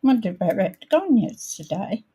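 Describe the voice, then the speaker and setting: A woman with a croaky voice hosting a casual live craft demonstration, thinking aloud as she works and sometimes clearing her throat. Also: mid-range voice, sing-song, noisy background. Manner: unhurried, thinking aloud while working. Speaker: craft tutorial host.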